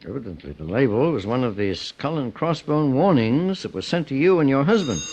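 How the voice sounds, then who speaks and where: A man's voice: mid-range voice, very animated, brisk, darkish tone, slight background noise. An actor playing a shrewd, composed male private detective in an old-time radio drama, probing, formal and authoritative. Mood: coolly probing. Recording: dull old radio recording.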